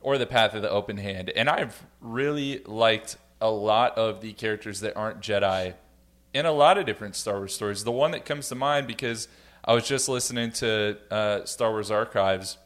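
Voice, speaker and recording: Lowish guy voice, casual podcast talk, thinking it through. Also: moderate pace, fairly bright tone. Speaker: podcast panelist. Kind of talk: casual, reflective discussion.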